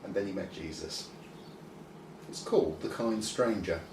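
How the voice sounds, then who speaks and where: A man reading a scripted children's story expressively, with deliberate pauses. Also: low-pitched. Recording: roomy, distant mic, faint noise.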